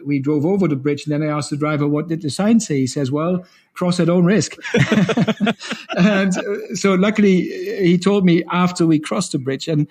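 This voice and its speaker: A middle-aged man speaks as a podcast guest in a deeper voice that still sits a bit above the usual male pitch. He rushes through his stories with many restarts. He laughs often as he talks. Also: a little mellow, breathy edge. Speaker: guest, co-founder of a training program. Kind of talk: casual storytelling interview.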